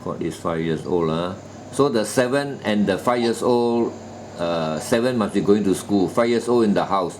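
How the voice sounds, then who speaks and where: A man's voice: lowish voice, animated, normal speed, darkish tone, slight background noise, poor audio. A man conducting a job interview, probing with questions and repeating the answers back, often trailing off with "ah" and "lah". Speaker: recruitment interviewer.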